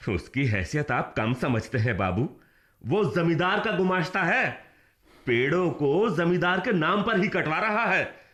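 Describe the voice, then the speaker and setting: A man's voice: on the high side, big pitch swings, a little slow, fairly bright tone. An actor playing an anxious, loyal village man in a Hindi radio drama, speaking urgently and emphatically. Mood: alarmed.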